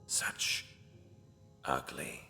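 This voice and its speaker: A man playing a grave, devout cult seer who speaks in a solemn, cryptic, often hushed way in a dark fantasy audio drama. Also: extremely slow, soft background music, exaggerated voice. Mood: hushed, prophetic. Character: grave, devout male cult seer, ominous mentor to an assassin.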